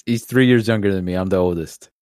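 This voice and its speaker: A man's voice: sits low, fast talker, darkish tone, clear, resonant tone, dry recording, studio quality. A man around forty co-hosting a casual podcast chat, teasing his friends and calling them "dude". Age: 40s. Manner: teasing, says "dude" a lot. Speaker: podcast co-host.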